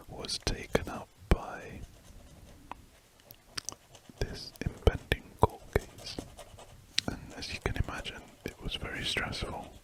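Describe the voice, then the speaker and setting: ASMR whisperer, soft and rambling. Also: poor audio. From United Kingdom. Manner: soft rambling whisper with frequent restarts and self-corrections. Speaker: ASMR artist. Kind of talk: whispered ASMR chat while drawing.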